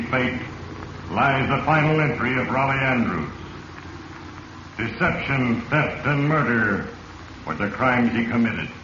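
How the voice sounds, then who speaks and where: Ominous, echoing voice of Fate. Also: male voice, medium pitch, fairly bright tone. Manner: solemn, ominous, deliberate pronouncements. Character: Fate, a stern all-knowing narrator of doom. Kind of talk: 1940s radio mystery narration. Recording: dull, echoey vintage radio recording, faint hiss.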